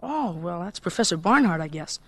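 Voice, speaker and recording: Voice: medium pitch, animated, fairly quick, slightly rough. A performer playing an eager, candid American schoolboy with an artless, gee-whiz manner in a radio drama. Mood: chatty, helpful.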